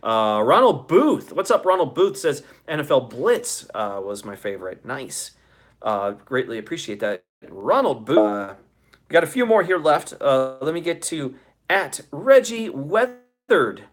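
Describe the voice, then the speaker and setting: A man's voice: mid-range voice, very animated, unhurried. A man in his forties hosting a casual livestream, reading out audience comments in chummy, profane slang with enthusiasm. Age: 40s. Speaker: show host.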